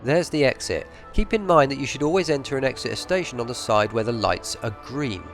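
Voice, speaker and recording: A man's voice: medium pitch, expressive, a little fast. A man narrating a scripted game tutorial in a methodical, explanatory way. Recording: faint music behind the voice. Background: faint music.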